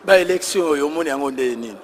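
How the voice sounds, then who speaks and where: Man, Congolese accent, host, probing questions, fairly high voice, long room reverb. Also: expressive, fast, a little hiss or hum. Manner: probing, reads out the critics' complaints. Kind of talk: questioning a guest in a debate.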